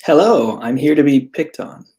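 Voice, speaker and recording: A man's voice: on the high side, animated, normal speed, warm tone. A man reading aloud a fairy tale he wrote, in a storybook narration style. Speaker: author reading own story.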